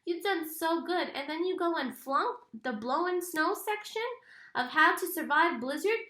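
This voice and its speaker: A woman's voice: high voice, expressive, a little slow, pushed and bright, echoey room, exaggerated voice. A woman voicing a bossy, teasing teenage boy who turns to panicked pleading, read aloud in a storybook. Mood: mock disappointment. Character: teenage boy, bossy older brother and prankster.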